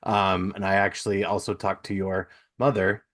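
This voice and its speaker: A man's voice: deep voice, little pitch movement, moderate pace, a bit bright. A man hosting a casual interview, rambling a little and hesitant, with an apologetic way of talking. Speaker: podcast host, interviewer.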